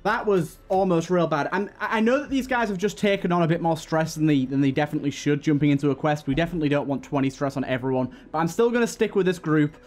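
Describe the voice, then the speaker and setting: Man, fairly high voice, casual gaming commentary, thinks aloud, repeats himself, game music behind. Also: quick pace, few pauses. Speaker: let's play host.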